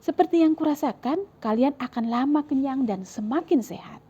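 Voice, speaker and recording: Lady doing a chirpy forest animal, eager and persuasive, soft dark tone. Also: high voice, animated, normal speed, lo-fi sound, voice pushed up.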